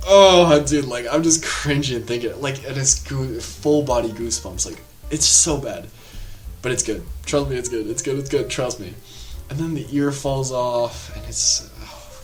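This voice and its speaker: An animated man talks through a horror film in a loose, rambling way, tossing in fillers and asides as he goes. His voice sits at an ordinary middle pitch. Soft music plays faintly under him. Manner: animated, rambling, lots of filler words and laughs. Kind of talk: casual spoken film review.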